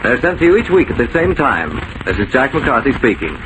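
Male American radio narrator, 1940s style, formal and measured, mid-range, noisy archival sound. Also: medium pitch, very animated. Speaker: narrator and announcer.

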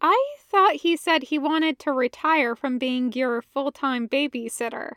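A woman voicing a skeptical, sharp-tongued teenage girl in an audio story, pointed and incredulous. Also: sits high, unhurried, no echo, studio quality.

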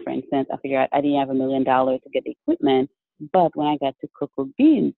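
A man from Haiti explains things at a measured pace and often restarts his sentences. His voice sits a little high for a man and has a gravelly fry. It comes over a dry, tinny, phone-like line. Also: dry recording. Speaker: guest entrepreneur. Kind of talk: interview answers.

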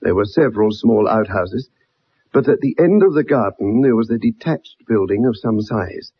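Earnest, husky young ex-soldier. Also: male voice, on the low side, lively intonation, normal speed. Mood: earnest recounting. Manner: earnest, formal, dramatic storytelling. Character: earnest, dogged young ex-soldier telling a detective his story. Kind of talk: 1960s radio drama acting. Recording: muffled old radio broadcast.

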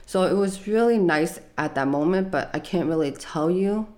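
Woman, young adult, low voice, soft-spoken, hesitant, interview. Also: moderate pace. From United States. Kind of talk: personal life story interview.

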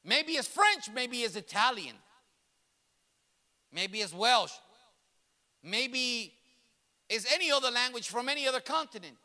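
Very high-voiced, bright preaching man. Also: lively intonation, a little slow, long pauses. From grew up in New York City. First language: Spanish. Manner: emphatic, repeats and restarts phrases, builds to loud passionate peaks. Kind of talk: sermon. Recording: long reverb of a large hall.

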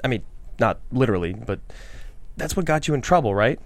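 Man acting blunt casual guy, average pitch, direct, script read. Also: lively intonation, brisk, no room sound, natural voice. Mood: frank, encouraging. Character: blunt, probing male friend and interviewer behind camera. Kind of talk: script table read, acted dialogue.

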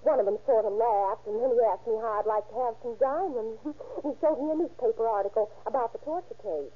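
Casting: nervous, giggly informant from a beer joint, high husky voice, tinny vintage radio crime drama. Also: female voice, brisk. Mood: confiding. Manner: chatty, hesitant, slangy, with little nervous laughs. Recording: muffled, tinny old-time radio recording.

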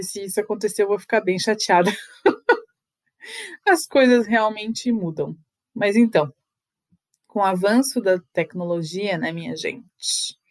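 A woman's voice: medium pitch, dry recording. A middle-aged woman, talking through a personal monologue in a chatty, confessional way with little laughs between thoughts. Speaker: podcast host.

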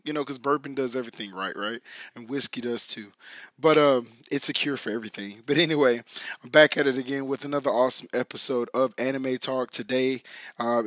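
A man hosting his own podcast in a loose, chatty way with lots of fillers and restarts, his voice hoarse and raspy. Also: mid-range voice, fairly bright tone, no room sound, clean studio sound. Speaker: podcast host. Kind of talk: casual solo podcast talk.

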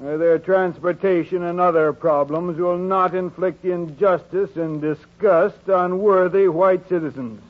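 High-pitched, haughty segregationist. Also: male voice, fairly even intonation, unhurried, few pauses. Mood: contemptuous. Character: haughty, hostile segregationist real estate spokesman. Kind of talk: 1940s radio acting. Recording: phone-like scratchy 1940s radio recording.

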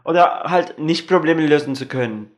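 A man's voice: a little high, normal speed. A young man in casual group chat, mixing some English slang into his German.

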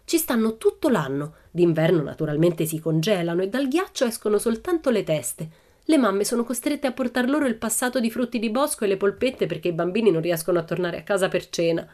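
A woman's voice: mid-range voice, animated, a little fast, darkish tone, pitched above the performer's own voice, natural voice. A woman voicing a bold, cheerful little red-haired girl who tells breezy tall tales in a storybook reading.

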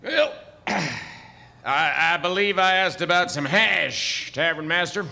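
Crusty older wizard cowboy, wry n folksy, lively highish voice, echoing live stage. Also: male voice, slow, vocal fry, exaggerated voice. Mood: amused. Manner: wry, folksy, hesitant asides. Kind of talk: comic audio theatre acting before an audience. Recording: reverberant live theatre hall.

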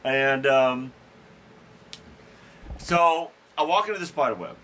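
Bright-voiced man telling a rambling story. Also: medium pitch, expressive, slow pace, slight background noise. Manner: rambling, self-deprecating, chuckles through stories; frequent restarts and fillers. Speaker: podcast host. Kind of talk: casual storytelling chat.

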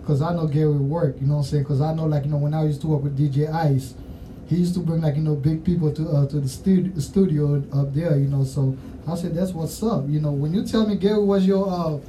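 A man's voice: a little high, steady tone, a little fast, warm tone, distant mic, low-quality recording. A man with a Haitian accent interviewing musicians in casual, rambling chat, full of "you know what I'm saying", recorded off-mic with faint noise behind.

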